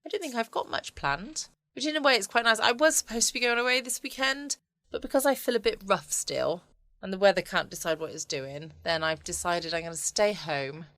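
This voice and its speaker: A woman's voice: mid-range voice, a little fast, fairly bright tone, no echo. A woman with a southern English accent chatting casually as host of a bedtime podcast. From United Kingdom. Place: at home, close dry recording.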